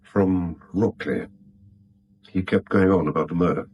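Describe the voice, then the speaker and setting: An actor playing an uneasy, subdued English husband and bank manager in a 1970s radio drama. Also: male voice, low-pitched, a little slow, a little mellow, breathy edge. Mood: worried.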